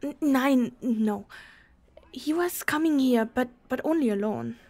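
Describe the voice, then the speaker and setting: A woman playing a nervous, secretive German desk clerk in an audio drama, with a German accent and a halting, hushed, stammering delivery. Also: high voice, animated. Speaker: voice actor. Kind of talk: cinematic audio roleplay acting.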